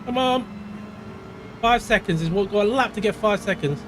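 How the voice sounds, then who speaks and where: Man, from Portugal, high voice, live game streamer, excitable shouting in races, relaxed rambling chat. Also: normal speed, noisy background. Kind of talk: live gameplay commentary and chat reading.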